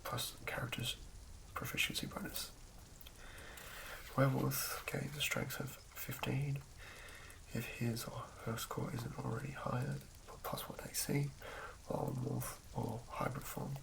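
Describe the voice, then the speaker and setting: A man reading in a hushed, breathy ASMR whisper with a halting, measured delivery. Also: mid-range voice, flat delivery, low-quality recording. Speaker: host of an ASMR channel. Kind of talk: ASMR reading of game rulebook.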